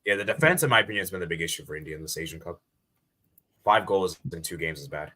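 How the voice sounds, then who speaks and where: Deep, quick-talking man from Bangladesh. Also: expressive, pushed and bright. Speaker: football podcast guest. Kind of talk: casual football discussion.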